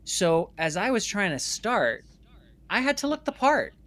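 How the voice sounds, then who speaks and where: A man's voice: high voice, very animated, measured pace, a bit bright. A man speaking as an interview guest in a conversational storytelling style, emphatic and repeating phrases for stress. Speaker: interview guest, filmmaker and former stage actor.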